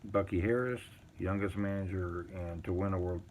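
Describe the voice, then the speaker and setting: A man casually showing a collection on an unscripted video, reading items out in short, halting phrases with muttered asides. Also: sits low, fairly even intonation, a little mellow, slight background noise. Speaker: collector showing vintage baseball cards. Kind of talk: casual unscripted show-and-tell, reading out cards.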